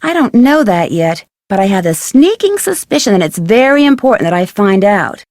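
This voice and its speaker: A curious young American woman detective talks as if chatting on the phone. Her delivery is earnest and matter-of-fact, with an everyday middle pitch. The recording is very dry and clean. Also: very animated, moderate pace, a little mellow, no room sound. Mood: earnest, intrigued. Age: young adult. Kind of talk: video game dialogue acting, conversational phone chat.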